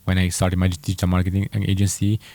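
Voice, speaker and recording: A young man with a Romanian accent talks casually about his own path, often stopping and correcting himself mid-sentence. His voice sits very low. The sound is dry and close, with no room around it. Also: completely flat delivery, vocal fry, dark tone, no room sound. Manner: halting, many fillers and self-corrections. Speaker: entrepreneur vlogger. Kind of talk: casual personal story to camera.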